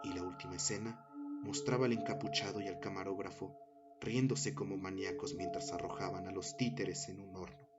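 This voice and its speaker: A man with a fairly low voice narrates a horror story. His telling is measured and dramatic. The sound is a little dull, with loud music running behind him. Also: fairly even intonation. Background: loud background music.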